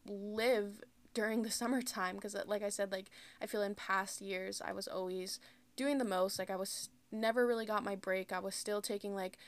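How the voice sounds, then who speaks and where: A woman's voice: medium pitch, steady tone, moderate pace. A young woman of about twenty hosting her own podcast, talking candidly in a rambling, casual way with lots of "like" fillers. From United States. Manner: candid, rambling, heavy use of "like". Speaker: podcast host. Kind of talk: casual solo podcast talk.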